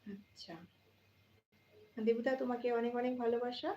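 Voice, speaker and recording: A woman's voice: on the high side, fairly even intonation, normal speed, dark tone. A woman chats casually as a live stream host, addressing viewers directly and often repeating her phrases. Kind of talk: casual live chat, greeting viewers by name. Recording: dull lo-fi, roomy echo, faint noise.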